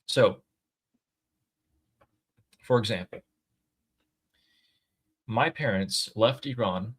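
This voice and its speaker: A man co-hosting a live stream, reading viewer messages aloud and thinking out loud in a casual, chatty way. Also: fairly low pitch, drawn-out words. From United States. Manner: chatty, thinks aloud with fillers and throat clearing. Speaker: co-host reading viewer super chats.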